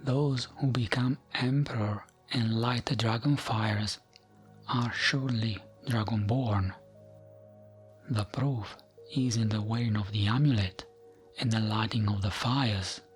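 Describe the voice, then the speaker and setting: A man softly reading fantasy lore as ASMR in a breathy, often whispered voice, with a gentle, soothing manner and frequent pauses. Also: on the low side, steady tone, soft background music. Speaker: ASMR gaming channel host.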